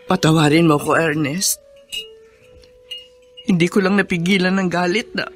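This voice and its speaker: An actress in a Filipino radio drama playing an older hacienda housekeeper, humble and emotional. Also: female voice, sits low, natural voice.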